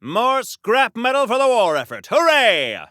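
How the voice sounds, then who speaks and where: An actor playing a pompous, gung-ho American superhero from a golden age comic in a video game, declaiming grandly like a 1940s radio serial hero. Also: male voice, extremely high pitch, sing-song, unhurried, pushed and bright, no echo, clean studio sound, heavily acted. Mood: taunting, triumphant. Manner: grandiose, theatrical, florid declamation.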